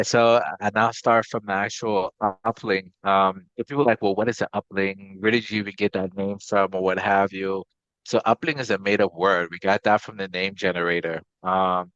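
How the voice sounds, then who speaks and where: A man's voice: low-pitched, fairly bright tone, dry recording. A man from Guyana who later lived in Maryland, explaining things casually as an interview guest. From Guyana, later Maryland, USA. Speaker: interview guest, company CEO. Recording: slightly dull, lo-fi audio.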